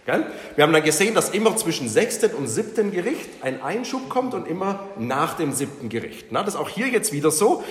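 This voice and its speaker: A man's voice: a little high, sing-song, brisk. A man leading a Bible study, teaching a group in an informal, interactive way. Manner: informal, questions the group and repeats their answers. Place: reverberant room with a group present. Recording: echoey hall with background noise.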